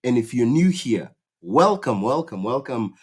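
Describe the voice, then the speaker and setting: A man's voice: mid-range voice, big pitch swings, measured pace, a little mellow, breathy edge. A man from Kenya hosting his channel, explaining in a casual, rambling way with many fillers and restarts. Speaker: YouTube channel host. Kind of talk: casual explainer talk to camera.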